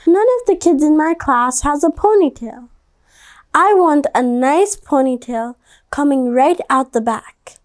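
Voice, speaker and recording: Woman reading as a defiant high-voiced schoolgirl. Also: a little slow. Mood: eager. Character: defiant, self-assured schoolgirl in a storybook.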